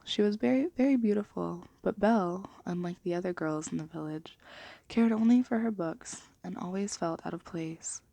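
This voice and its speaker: A woman speaking in a gentle, unhurried, hushed and breathy ASMR style, soft-spoken and half whispered, as for bedtime stories. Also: fairly low pitch. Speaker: ASMR video host and storybook reader.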